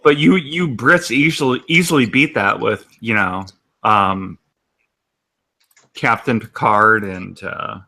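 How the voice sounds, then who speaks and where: American man, gravelly, bright-edged, rambling. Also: lowish voice, slow pace. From United States. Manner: hesitant, rambling. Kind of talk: casual group chat.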